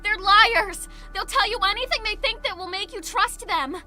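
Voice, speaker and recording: A woman's voice: very high-pitched, moderate pace, sharp tone, soft background music, heavily acted. A woman playing a defiant, desperate young woman held captive in an audio drama, with emphatic, bitter delivery. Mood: desperate, pleading. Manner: emphatic, pleading outbursts.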